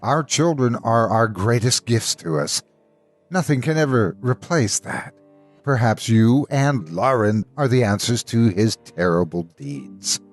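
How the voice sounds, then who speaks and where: An actor playing a jolly, friendly village man in an audio storybook, hearty and theatrical, with a husky voice. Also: medium pitch, expressive, unhurried. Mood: indignant. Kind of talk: audio storybook character acting. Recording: music behind.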